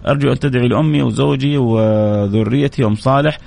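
Male radio host, gravelly and quick, runs through listener shout-outs with a blessing for each. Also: medium pitch, steady tone. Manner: reads names and cities aloud, answering each with a blessing.

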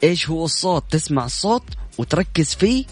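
A man's voice: a little high, sing-song, quick pace. A man with a Saudi accent presenting a morning radio show in a chatty, familiar, affectionate way. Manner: chatty, familiar, addresses listeners and callers affectionately. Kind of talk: lively radio presenting with a phone-in quiz. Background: music bed.

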